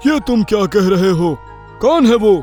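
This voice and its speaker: A woman voicing a stern, hot-tempered parrot king in a children's cartoon, with a booming, imperious delivery. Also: lively intonation, measured pace, mellow, soft background music, close to an everyday voice. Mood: angry, commanding. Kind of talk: Hindi children's cartoon story acting.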